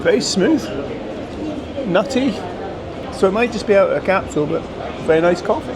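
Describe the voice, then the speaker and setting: A man's voice: high-pitched, expressive, moderate pace, crowd noise. A man hosting a restaurant review vlog, chatting casually and teasing his friends at the table. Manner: teasing, joking banter. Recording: loud street noise behind.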